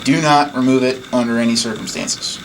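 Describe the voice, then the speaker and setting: A man's voice: medium pitch, fairly even intonation, a little fast. A man giving an informal hands-on training demonstration, explaining things plainly and step by step. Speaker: technician giving staff training. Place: indoors, at an equipment station. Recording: noisy room, clear background noise.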